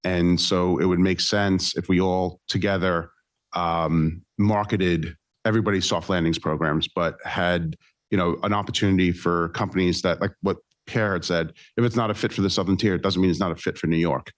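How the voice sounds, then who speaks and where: A man's voice: low voice, steady tone, moderate pace. A man speaking on a panel for a trade association, conversational and loose, with fillers. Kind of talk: panel talk.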